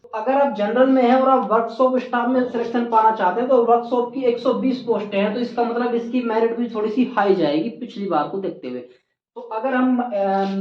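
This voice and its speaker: A presenter explaining things informally to exam candidates, in an instructive way that repeats key figures for emphasis. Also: medium pitch. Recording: muffled, echoey room recording.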